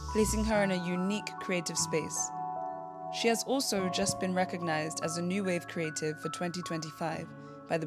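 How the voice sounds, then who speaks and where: Soft-spoken woman hosting a podcast. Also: fairly low pitch. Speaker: podcast host. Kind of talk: scripted intro then casual interview. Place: artist's studio. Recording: music playing behind the voice.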